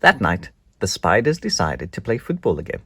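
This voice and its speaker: A storybook narrator reading aloud for young children in an expressive, scripted storytelling style. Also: sits low, moderate pace, breathy edge, no echo.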